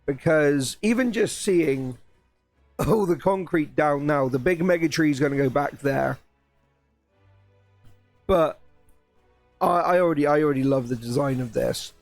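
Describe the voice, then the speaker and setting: Croaky-voiced man streaming a build. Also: fairly high pitch, unhurried, pauses often, soft background music. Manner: rambling, restarts, excited. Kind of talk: casual live-stream chat while building.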